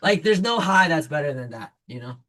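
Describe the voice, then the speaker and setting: A man in his late thirties who grew up in China, speaking earnestly in a casual interview and pausing to restart his sentences. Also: fairly high pitch, lively intonation, sharp tone. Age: 30s. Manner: earnest, reflective, halting restarts. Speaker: band member.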